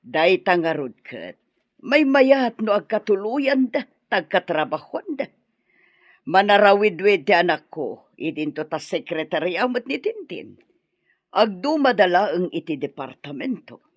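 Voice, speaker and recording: An animated, meddling older Filipino mother. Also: on the low side, a little slow, no echo, highs cut off. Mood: gossipy. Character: ailing, meddling older mother angling for a match. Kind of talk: Ilocano radio drama acting.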